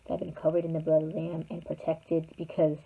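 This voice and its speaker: A woman's voice: sits low, monotone, fast talker, creaky, husky. A young woman telling a personal story to her viewers in a rambling, chatty way, full of fillers. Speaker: vlogger. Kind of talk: casual storytime. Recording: tinny phone-like sound.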